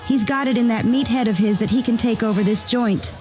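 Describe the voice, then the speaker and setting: A woman's voice: a little high, a little fast, dark tone, natural voice. A woman playing a frightened, folksy brothel girl in a parody radio drama. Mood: anxious. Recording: muffled old-radio sound with music.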